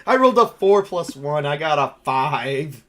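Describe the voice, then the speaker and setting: A man casually narrating a tabletop role-playing game as game master, excitable and quick to swear. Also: on the high side, crowd noise.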